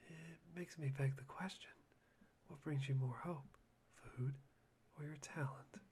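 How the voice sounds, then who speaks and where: Guy doing a soft spoken broody young man, whispery asmr roleplay, halting and musing, bit of noise behind. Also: a little high, fairly even intonation. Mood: curious, probing. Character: polite, brooding young man obsessed with hope.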